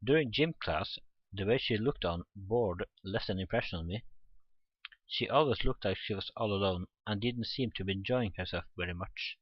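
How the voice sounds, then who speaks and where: A man's voice: lowish voice, moderate pace, husky, no room sound, muffled recording. A man reading a visual novel aloud for a let's play, informally, stumbling over the text and adding his own reactions. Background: visual novel game music.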